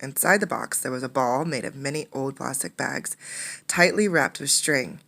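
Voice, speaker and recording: A woman with a low-pitched voice gives an interactive storybook read-aloud. She speaks as a teacher to children, explaining and inviting them to look and answer. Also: normal speed, creaky, fairly bright tone. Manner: instructive, poses questions to young listeners. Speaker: teacher reading a picture book aloud.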